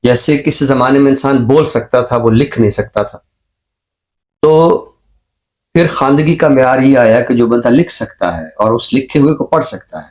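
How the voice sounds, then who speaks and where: A man with a Pakistani accent speaking as a talk show expert in a reflective discussion, explaining in measured, repeated phrases with examples. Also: mid-range voice, a little mellow. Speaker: expert guest on a literary and social talk show.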